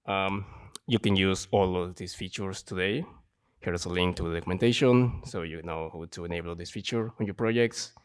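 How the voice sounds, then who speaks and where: A man from Ecuador with an Ecuadorian Spanish accent, a software developer giving a short conference talk. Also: low voice, normal speed, clean tone. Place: conference stage.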